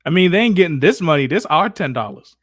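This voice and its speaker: A man's voice: a little high, animated, fast. A man hosting a casual livestream Q&A with slangy, mock-exasperated banter. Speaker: livestream host.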